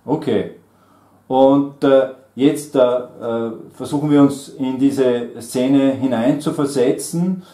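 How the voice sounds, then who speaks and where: A man's voice: medium pitch, takes it slowly, warm tone, roomy sound. A middle-aged man, leading an oral language exam with many fillers and friendly laughs. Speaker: German trainer and examiner. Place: small indoor room at a table.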